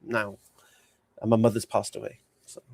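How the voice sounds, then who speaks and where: A man's voice: lowish voice, moderate pace, vocal fry, no room sound. A man hosting a casual live stream, reading out viewer questions and answering them bluntly. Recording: steady background noise.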